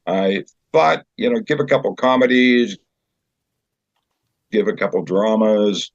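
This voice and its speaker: A man explaining a theater show as its director in an interview, in a conversational, halting way. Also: lowish voice, no room sound. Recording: dull, lo-fi sound.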